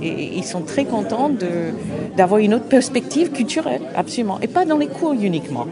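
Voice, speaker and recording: A middle-aged woman, an English speaker, answering interview questions at an open day, halting and searching for words with frequent restarts. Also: fairly high pitch, very animated. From United States. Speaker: association representative. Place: busy open-day event. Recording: crowd noise behind. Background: crowd chatter.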